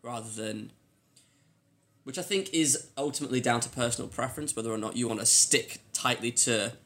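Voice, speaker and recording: A man's voice: mid-range voice, brisk, a bit bright. A man co-hosting a podcast, chatting in an informal, plain-spoken way. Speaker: podcast co-host. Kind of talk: casual chat.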